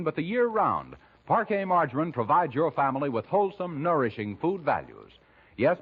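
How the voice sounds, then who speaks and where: An American man with a husky voice, announcing in the emphatic, scripted sales style of 1940s radio. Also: medium pitch. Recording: dull 1940s radio recording.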